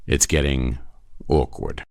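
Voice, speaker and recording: A man's voice: very deep voice, flat delivery, takes it slowly, darkish tone, smooth, no echo, clean studio sound. A man reading screenplay stage directions as scripted narration.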